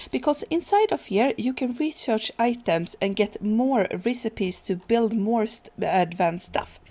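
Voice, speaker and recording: Woman, native Swedish speaker, let's play commentary, halting and relaxed, medium pitch. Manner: relaxed, hesitant, many fillers and restarts. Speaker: gaming channel host.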